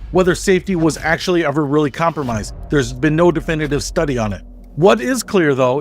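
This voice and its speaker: Male video essay narrator, slightly high voice, measured and emphatic scripted delivery, faint music underneath. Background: background music.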